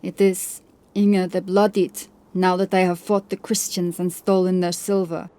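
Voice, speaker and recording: A woman's voice: fairly low pitch, flat delivery, normal speed, mellow, close to an everyday voice. A woman voicing a bold, curious and boastful Viking warrior woman in an audiobook. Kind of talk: audiobook character acting.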